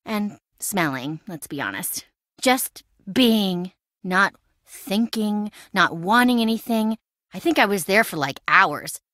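A woman's voice: medium pitch, expressive, slow pace, a bit bright, dry recording, clean studio sound. An actress voicing a chatty, impulsive young woman in a video game, breezy, wry and self-dramatizing. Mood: breezy, dramatic. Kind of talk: video game voice acting, conversational.

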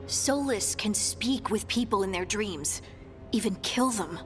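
A woman's voice: mid-range voice, lively intonation, unhurried, lightly acted. Woman playing an urgent dwarf scout. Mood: earnest. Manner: earnest, pressing. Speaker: party companion. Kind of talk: video game voice acting. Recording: game dialogue over clear music.

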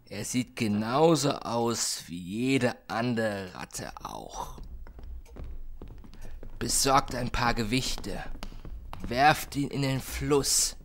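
A man plays a vengeful gangster in a radio drama. He taunts very slowly, his mid-pitched voice rising and falling a lot. Faint noise sits behind him. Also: expressive, fairly bright tone, heavily acted. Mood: gloating. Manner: gloating, drawn-out. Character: vengeful, taunting male rival mob boss. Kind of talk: radio play acting.

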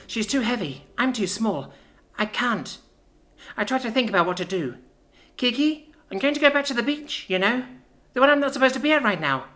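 Woman voicing a high-pitched, frightened little girl. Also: lively intonation, fairly quick, fairly bright tone. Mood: worried. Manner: childlike, pleading. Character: small frightened young girl, pleading and tearful. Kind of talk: audiobook character acting.

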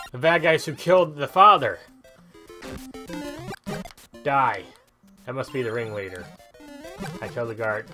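A man with an average-pitched voice hosts a casual gaming video. He talks through the play as it comes to him, in a joking, rambling way. Video game music runs behind his voice. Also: pushed and bright. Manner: joking, rambling, thinking aloud. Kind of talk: casual let's play commentary.

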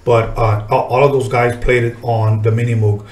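A man's voice: mid-range voice, level tone, moderate pace, a little mellow. Middle-aged male podcast host, echoey room. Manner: informal, profane, reminiscing. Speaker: podcast host, music artist. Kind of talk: casual interview chat. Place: small podcast studio, indoors. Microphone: desk podcast microphone.

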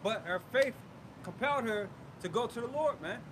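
A man's voice: extremely high pitch, expressive, moderate pace. A man preaching and debating outdoors on a city street, blunt and assertive, calling people bro. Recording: loud street traffic noise.

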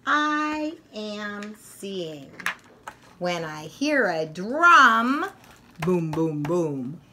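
A middle-aged woman reads and teaches to young children in an expressive, deliberate, sing-song storytelling manner. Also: mid-range voice, background noise. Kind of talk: picture book read-aloud for young children. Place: furnished room at home, indoors.

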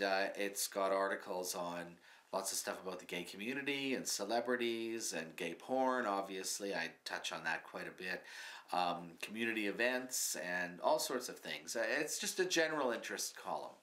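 A man in his fifties who answers earnestly and matter-of-factly, filling pauses with ums. His voice sits a little low but sounds bright and forward. He is seated in a small studio. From Canada. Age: 50s. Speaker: comedy writer, guest. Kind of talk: mock interview, plain answers. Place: small studio with curtain backdrop.

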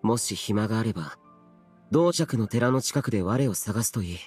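An actor playing a solemn, aloof young immortal warrior in a video game, speaking in a reserved, archaic, formal way. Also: male voice, lowish voice, expressive, moderate pace, darkish tone, soft background music, natural voice. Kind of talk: Japanese video game voice acting.

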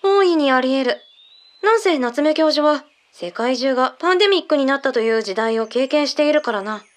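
An actress voices a female intelligence agent with a notably high voice. She is brisk and matter-of-fact, laying things out in blunt, mannish phrasing in the manner of a radio drama. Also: lively intonation. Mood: matter-of-fact, explanatory. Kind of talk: Japanese radio drama acting.

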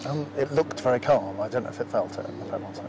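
A man's voice: medium pitch, fast. A man interviewing a footballer outdoors at a ground at night, asking friendly, hesitant questions. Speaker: club media interviewer. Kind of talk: post-match interview questions. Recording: outdoor ambience with clear background noise.

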